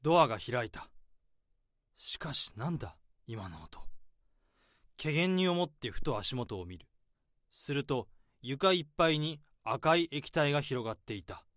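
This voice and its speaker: A man in his thirties narrating a radio drama in the first person, in a slow, subdued, deadpan way. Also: medium pitch, no echo, studio quality. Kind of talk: radio drama inner monologue.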